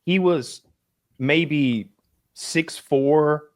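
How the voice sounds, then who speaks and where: A man's voice: a little high, extremely slow. A man in his thirties co-hosting a podcast, telling stories animatedly and laughing often. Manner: animated storyteller, laughs a lot. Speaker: podcast co-host. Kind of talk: casual comedic chat.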